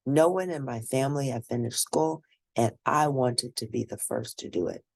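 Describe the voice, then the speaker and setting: Husky woman reading a story aloud. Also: very deep voice, moderate pace, no echo. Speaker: read-aloud narrator. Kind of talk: scripted storybook reading in first person.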